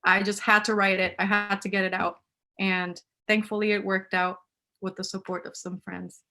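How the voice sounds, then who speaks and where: A woman's voice: medium pitch, little pitch movement, normal speed, bright, forceful tone. A woman from Puerto Rico, an author chatting casually with her audience on a video call, laughing often and mixing in Spanish words. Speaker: author answering audience questions. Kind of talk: casual live Q&A chat.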